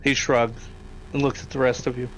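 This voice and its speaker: A man with a gravelly voice, narrating a tabletop game as game master in a casual way. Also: medium pitch, flat delivery, moderate pace, fairly bright tone, highs cut off, slight background noise.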